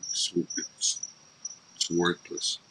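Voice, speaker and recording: A man's voice: drawn-out words, sharp tone, slight background noise, poor audio. A middle-aged man chatting casually in plain, profane slang from an outdoor patio with crickets chirping. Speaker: panelist, former gang member recounting prison. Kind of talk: casual chat.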